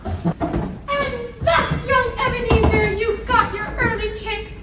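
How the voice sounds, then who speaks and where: A woman's voice: very high-pitched, steady tone, slow pace. A woman playing a commanding ghost who declaims singsong rhymes in a stage pantomime. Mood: admonishing. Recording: very echoey hall, distant mic, noisy.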